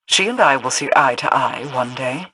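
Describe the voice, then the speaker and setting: A woman's voice: a bass voice, animated, moderate pace, pushed and bright, slightly rough, dry recording, full character voice, rough recording. An actress voicing an aloof, commanding queen in a video game, with a formal, solemn delivery. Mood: solemn, cool. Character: regal, aloof, commanding queen of a fallen realm. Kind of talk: scripted video game dialogue.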